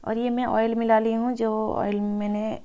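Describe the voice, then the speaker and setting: A woman's voice: a little high, level tone, moderate pace, dark tone, smooth. A woman home cook talking viewers informally through a recipe, addressing them as friends. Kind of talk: informal cooking tutorial voice-over.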